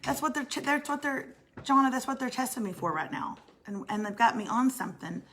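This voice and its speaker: A middle-aged woman with a raspy voice, co-hosting a casual morning chat and clearing her throat often. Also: a little high, expressive, brisk, a bit bright, a little hiss or hum. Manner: chatty, self-mocking, frequent throat clearing. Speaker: co-host.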